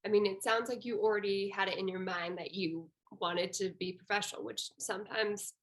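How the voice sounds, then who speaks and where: A woman's voice: medium pitch, steady tone, fairly quick, a bit bright. A young woman working as an interviewer, asking questions in a casual, conversational style.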